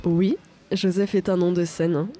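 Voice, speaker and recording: A woman's voice: fairly low pitch, little pitch movement, a little slow, dark tone. A young woman from France, a singer-songwriter answering radio interview questions, hesitant with many fillers and restarts.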